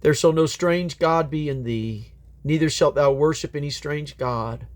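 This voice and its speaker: A man's voice: a little high, unhurried. A man reading Bible scripture aloud in a solemn, declamatory manner, letting his phrases fall away at the end. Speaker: Bible reader.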